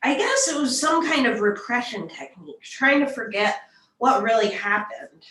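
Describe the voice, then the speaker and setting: A woman's voice: on the high side, expressive, moderate pace, a bit bright. A woman acting a frightened, defensive alien abductee in a script table read, on a roomy, distant microphone. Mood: haunted, confiding. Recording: roomy, distant mic, noisy line.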